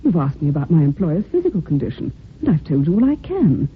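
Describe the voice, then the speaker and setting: An actress playing a prim, defensive, devoted secretary who speaks formally and emphatically in an old-time radio drama. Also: female voice, sits low, sing-song, quick pace, a little hiss or hum. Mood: guarded. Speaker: actor in a radio mystery. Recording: thin, phone-like old radio recording.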